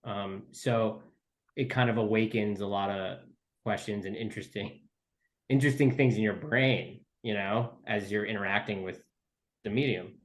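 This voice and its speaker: A man's voice: lowish voice, moderate pace. A man chatting casually as an interview guest and writer, with loose, tentative phrasing padded with conversational asides. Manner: loose, tentative phrasing full of "like" and "you know". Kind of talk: casual chat.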